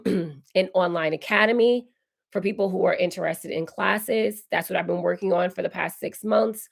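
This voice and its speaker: A woman in her forties, hoarse and raspy while recovering from illness, hosting a casual live Q&A and answering viewer comments. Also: fairly low pitch. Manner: chatty, reads viewer comments aloud and answers warmly. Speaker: decor channel host. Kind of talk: live stream Q&A chat.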